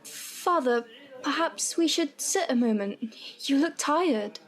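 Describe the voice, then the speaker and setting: A young woman acting a gentle, timid, selfless prisoner's daughter in a period audio drama, meek and earnest. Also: sits high, animated, takes it slowly. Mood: meek, soothing. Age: young adult. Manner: soft-spoken, meek, earnest. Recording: echoey hall reverb, faint music.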